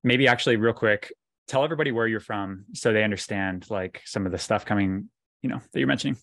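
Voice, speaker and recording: A man's voice: lowish voice, fast talker, fairly bright tone, dry recording. Casual male podcast host, dry close sound. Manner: informal, rambling questions. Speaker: podcast host interviewing a guest. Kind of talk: casual interview chat.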